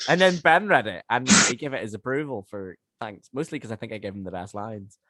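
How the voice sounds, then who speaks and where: Animated man in close, dry podcast chat. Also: fairly low pitch, brisk, no echo. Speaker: scriptwriter and voice actor.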